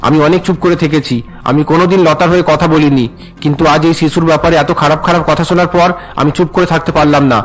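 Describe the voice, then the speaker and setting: A man's voice: fairly high pitch, fairly even intonation, quick pace, faint music behind, natural voice, poor audio. A man voicing an earnest, protective young husband and father in a cartoon family melodrama. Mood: indignant. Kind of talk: Bengali cartoon melodrama dubbing.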